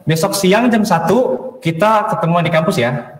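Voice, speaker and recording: A friendly young male college student, played by an actor talks in an easy, earnest way. His voice sits a little high. The sound rings with echo, as in a big reverberant hall. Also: lively intonation, moderate pace. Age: young adult. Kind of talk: acted dialogue in a short film. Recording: echoey, reverberant hall playback.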